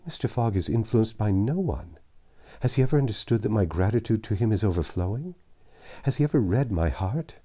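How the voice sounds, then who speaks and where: A man voicing a gentle, worried young lady in a storybook, earnest and questioning. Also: fairly low pitch, lively intonation, brisk, pitched above the performer's own voice. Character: gentle, grieving young lady, grateful and concerned.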